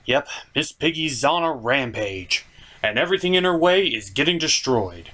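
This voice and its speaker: A man's voice: medium pitch, lively intonation, moderate pace, bright, forceful tone. A man hosting a scripted comedic review with skits, with a theatrical, exasperated delivery. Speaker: comic reviewer and host.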